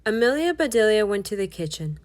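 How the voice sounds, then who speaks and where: A woman narrating a children's storybook aloud in an expressive, scripted read-aloud style. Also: medium pitch, brisk.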